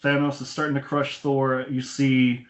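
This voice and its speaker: A man's voice: medium pitch, monotone, moderate pace. A man casually chatting as a podcast host, talking like an enthusiastic movie fan over an internet call. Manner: enthusiastic fan, acts out quoted lines. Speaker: film review podcast host. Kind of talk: casual chat, recounting a film's plot. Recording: dull lo-fi internet call audio.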